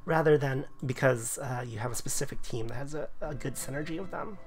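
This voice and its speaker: A man reviewing a game class in casual video commentary, his voice going hoarse, rambling with lots of fillers. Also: medium pitch, a little fast, faint music behind. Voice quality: gravelly, voice wearing thin. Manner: rambling, filler-heavy explanations. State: losing his voice.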